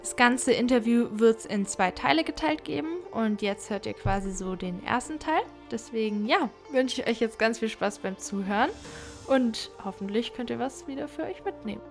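A woman's voice: fairly high pitch, moderate pace, soft background music. A woman hosting a casual podcast interview, chatty and loose, with lots of fillers. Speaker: podcast host.

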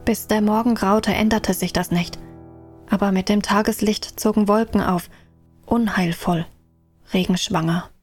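A woman narrating a radio drama in a measured, descriptive manner. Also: mid-range voice, fairly even intonation, darkish tone, soft background music. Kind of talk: scripted third-person narration. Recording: dry close studio sound.

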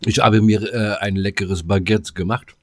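A man's voice: on the low side, steady tone, normal speed. A man co-hosting a podcast in a casual, comedic chat, speaking informally and playfully. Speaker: podcast co-host.

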